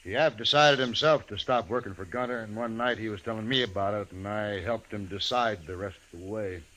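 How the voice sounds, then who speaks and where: A man's voice: fairly low pitch, brisk, bright, forceful tone, poor audio. A man playing a plain-spoken, stubborn American saloon keeper in an old-time radio western. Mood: folksy, confiding. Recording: hissy old radio recording.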